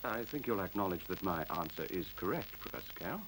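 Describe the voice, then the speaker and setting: Urbane, mischievous machine intelligence, radio play, articulate and lively, faint tape hiss. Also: male voice, low-pitched, quick pace, poor audio. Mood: composed, assured. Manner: mischievous, teasing, articulate, formal. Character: witty, urbane male talking intelligent computer.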